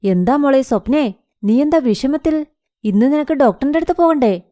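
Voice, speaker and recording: A woman's voice: high voice, animated, normal speed, warm tone, no room sound, clean studio sound. A woman playing a caring, protective middle-aged mother who speaks soothingly in a cartoon moral story. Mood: concerned, soothing.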